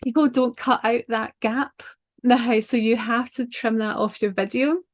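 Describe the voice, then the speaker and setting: A woman's voice: a little high, steady tone, a little slow. An older woman with a Scottish accent, casually giving advice over a video call from a small home office, chatty and full of restarts. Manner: chatty, talks with her hands, many ums and restarts. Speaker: branding designer and show host.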